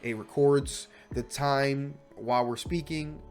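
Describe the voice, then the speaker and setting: A young man with a cold talks in a stuffy, nasal voice at an ordinary middle pitch, rambling and restarting his sentences. His delivery is loose and chatty. Faint music plays behind him. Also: fairly even intonation, slow, a little mellow. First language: English. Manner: chatty, self-correcting, restless. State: sick, stuffy voice. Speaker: language learning vlogger. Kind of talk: casual rambling monologue.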